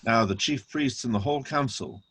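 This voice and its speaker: A man's voice: medium pitch, brisk, fairly bright tone, husky. A man narrating a dramatic reading of scripture.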